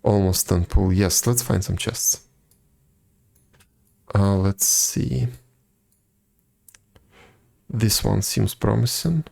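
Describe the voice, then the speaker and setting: A man's voice: on the low side, slow, gravelly, long pauses, a little mellow. A man chatting casually as a game streamer, commenting to himself in a wry, self-deprecating way. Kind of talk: casual live gameplay chat. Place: indoors, streaming, game music behind.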